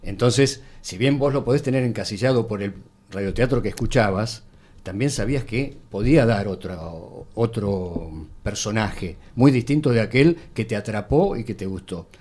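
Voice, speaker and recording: A man's voice: on the low side, normal speed, a little mellow. A man with an Argentine accent explaining things casually in a radio panel conversation.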